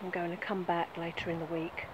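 A woman's voice: lowish voice, little pitch movement, brisk, background noise. Chatty woman outdoors. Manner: chatty, points things out as she walks. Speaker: vlogger. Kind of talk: casual walk-and-talk vlog. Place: outdoors on a grassy field by bushes. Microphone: phone camera held close.

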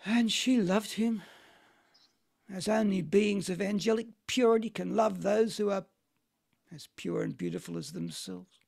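A man's voice: sits high, unhurried, vocal fry, darkish tone, full character voice. A man reading the part of a bitter, envious, sardonic middle-aged man in an amateur stage play. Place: at home on a video call. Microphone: video call microphone.

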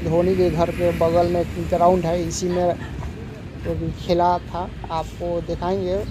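A man's voice: sits high, completely flat delivery, unhurried. A man, narrating a casual walking vlog in a repetitive, explanatory way into a phone held at arm's length. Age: 20s. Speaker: vlogger. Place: outdoors at a sports ground and roadside. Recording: very noisy outdoor traffic and ambience.

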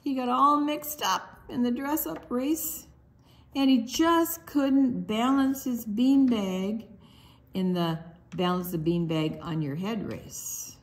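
A woman reading a children's picture book aloud, expressively and with deliberate dramatic pauses. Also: on the high side. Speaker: storytime book reader.

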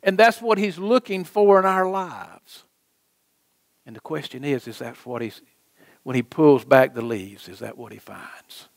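A middle-aged American man preaching a sermon as a pastor, speaking in an emphatic, deliberate manner. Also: medium pitch, expressive, gravelly, dry recording. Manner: emphatic, deliberate, with rhetorical questions and repetition. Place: church sanctuary, preaching from a lectern.

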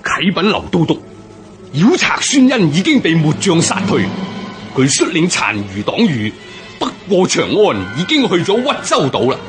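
A man's voice: a little high, big pitch swings, moderate pace, over music. An actor playing a bold, confident army officer in a historical radio drama, declaiming his orders. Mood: respectful, firm. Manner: declamatory, commanding.

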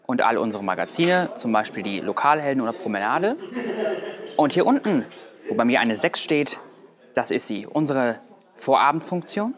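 Young man, medium-pitched presenter of a short explainer. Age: young adult. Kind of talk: explainer to camera and voice-over.

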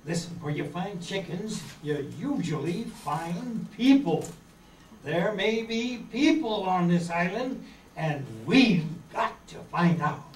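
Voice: mid-range voice, lively intonation, slow. An amateur performer playing a bossy, practical young castaway leader in an old-time children's radio serial. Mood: urgent. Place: community meeting room before an audience. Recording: echoey room, distant camera mic, hiss.

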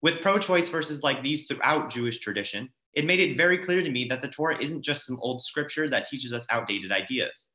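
A teenage male confirmand reads a prepared speech in a bright, forward voice. The sound is echoey, like a video call from a room at home. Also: mid-range voice, animated, fairly quick, hardly any pauses. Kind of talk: prepared speech read aloud. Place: home dining room, indoors. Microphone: computer mic on a video call.

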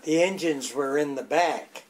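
A man's voice: fairly high pitch, unhurried, a little hiss or hum. An older man, chatting casually and plainly as he explains old footage. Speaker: local commentator on old film. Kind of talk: casual reminiscing chat over old footage.

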